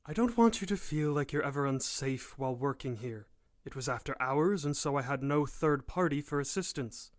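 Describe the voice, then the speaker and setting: An actor playing a persuasive professor who runs a research lab, polite and coaxing, in an audio drama. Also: male voice, fairly high pitch, a bit bright, natural voice. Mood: measured, evasive. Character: smooth-talking, persuasive male professor running a research lab.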